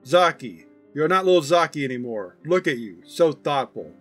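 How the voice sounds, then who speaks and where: A man's voice: fairly high pitch, lively intonation, moderate pace, fairly bright tone, faint music behind. A man voicing an affectionate, proud, teasing old grandfather in a fond, folksy, chatty manner for a story reading.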